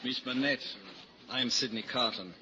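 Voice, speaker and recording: An actor playing a jaded, sardonic, hard-drinking lawyer in a theatrical vintage radio drama style. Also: male voice, mid-range voice, moderate pace, close to an everyday voice. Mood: cold, sardonic. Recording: dull, noisy old-time radio recording.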